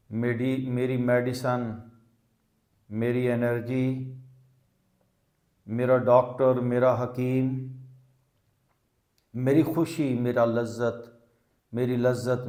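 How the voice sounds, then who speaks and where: A man leading a guided meditation as a teacher, speaking in deliberate short phrases with long pauses. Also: medium pitch, darkish tone. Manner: mixes English words into Hindi. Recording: echoey, reverberant room sound.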